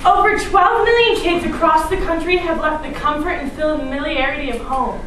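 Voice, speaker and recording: A woman's voice: high voice, normal speed, a bit bright, recorded from a distance. A woman performer playing a bubbly, eager summer camp promoter, projecting in a theatrical mock-advertisement style. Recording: echoey stage from afar, hiss.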